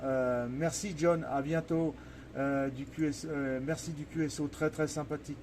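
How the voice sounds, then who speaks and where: A man's voice: fairly high pitch, steady tone, normal speed. Chatty Frenchman with noise behind him. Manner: repeats words and phrases, chatty. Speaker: amateur radio operator. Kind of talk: casual ham radio conversation. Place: small indoor radio shack.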